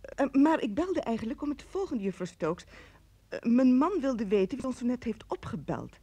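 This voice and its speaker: Mellow, husky, evasive wife. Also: female voice, a little high, big pitch swings, moderate pace, no room sound. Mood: nervous, evasive. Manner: hesitant, polite, evasive. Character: guarded, evasive wife answering the telephone. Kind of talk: radio drama acting.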